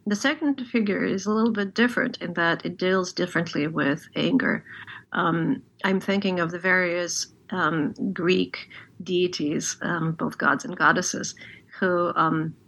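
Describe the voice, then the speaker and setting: Gravelly low-voiced woman, Russian native. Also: a bit bright, rough recording. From Ukraine. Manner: thoughtful, measured, many pauses and ums. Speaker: translator on a panel. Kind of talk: panel discussion.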